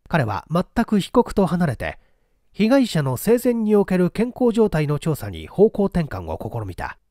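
A man's voice: a little high, a little mellow, dry recording, clean studio sound. A man reading a mystery story aloud as scripted, dramatic narration. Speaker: audiobook reader.

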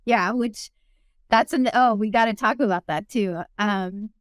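A woman's voice: medium pitch, unhurried, dry recording. A woman interviewer asking upbeat, casual questions over a video call.

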